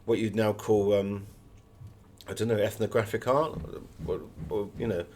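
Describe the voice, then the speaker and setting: A man's voice: on the low side, little pitch movement, normal speed, a little mellow. A man speaking as a guest author in an interview, explaining things in a discursive, anecdotal way. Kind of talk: conversational interview, telling anecdotes.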